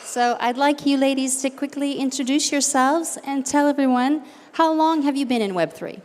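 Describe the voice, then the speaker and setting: High-voiced woman moderator in echoey hall. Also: normal speed, darkish tone. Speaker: panel moderator. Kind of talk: hosting a panel. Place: summit event room. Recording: noisy reverberant event hall. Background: faint room noise.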